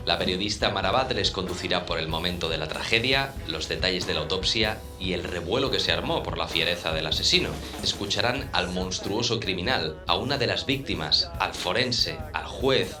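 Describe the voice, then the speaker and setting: A man reads a scripted true-crime introduction as announcer. His delivery is theatrical and showy, over clear background music. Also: deep voice. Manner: dramatic showman delivery.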